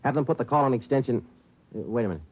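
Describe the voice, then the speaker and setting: An actor playing a terse, deadpan police detective who also narrates a 1950s radio drama in documentary style. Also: male voice, mid-range voice, racing, slightly rough. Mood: businesslike. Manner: clipped, deadpan. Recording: muffled narrow old radio recording.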